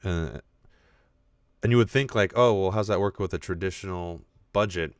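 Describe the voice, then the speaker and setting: A man with a deep, low voice talks casually on his own podcast. He gets animated and thinks aloud, often restarting his sentences. The sound is dry, with no room echo. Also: moderate pace, clear, resonant tone. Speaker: podcast host. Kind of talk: casual live podcast talk.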